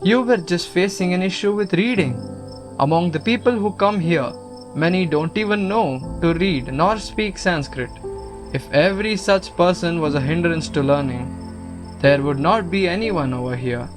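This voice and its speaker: A man playing a gentle guru, higher-pitched voice. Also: expressive, moderate pace, lightly acted. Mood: patient questioning. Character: calm, kindly male guru counselling a troubled student. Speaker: student actor. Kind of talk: scripted audio drama acting. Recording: music under the voice. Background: music.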